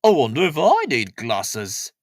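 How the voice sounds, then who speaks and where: A man's voice: mid-range voice, huge pitch swings, measured pace, dry recording, clean studio sound. Man playing an easygoing village handyman. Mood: musing.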